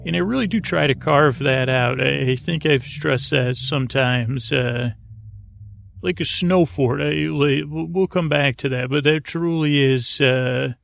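Male sleep podcast host: gravelly, creaky, meandering and halting, whimsical, recorded close and dry. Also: medium pitch, fairly bright tone, no room sound. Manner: meandering, halting, stammering, repeating himself; soothing, whimsical, absent-minded. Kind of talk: rambling bedtime monologue meant to lull listeners to sleep.

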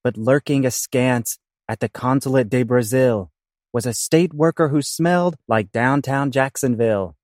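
A man's voice: mid-range voice, moderate pace, darkish tone, dry recording. Man narrating theatrically, dry close sound. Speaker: narrator of a comic audio play. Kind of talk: theatrical rhyming scripted narration.

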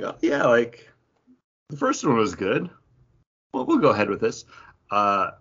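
A man's voice: mid-range voice, sharp tone, breathy edge, lo-fi sound. A man co-hosting a podcast, chatting casually in a comic book review. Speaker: podcast co-host.

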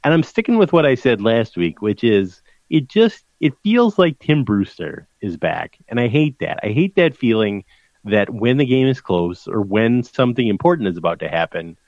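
A man's voice: medium pitch, big pitch swings, normal speed, darkish tone, dry recording, dull audio. A man co-hosting a casual sports podcast, explaining college football numbers in a conversational way with frequent restarts. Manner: conversational, stat-heavy, restarts mid-sentence.

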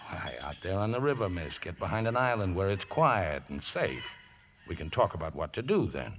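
An actor playing a rough, easygoing riverboat mechanic in a 1950s radio drama, deferential and folksy, with ungrammatical speech. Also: male voice, low-pitched, big pitch swings, normal speed. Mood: urgent, helpful. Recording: dull, noisy vintage radio broadcast.

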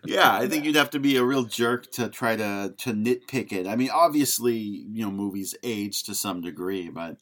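A man's voice: lowish voice, moderate pace, few pauses, fairly bright tone. A man hosting a podcast, chatting casually about film in a jokey, self-deprecating way. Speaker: podcast host.

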